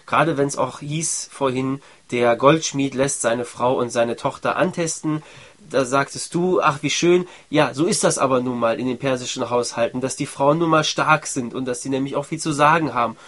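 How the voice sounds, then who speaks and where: Man, from Iran, musician, medium pitch, conversational interview storytelling. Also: moderate pace, a bit bright. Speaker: musician, percussionist.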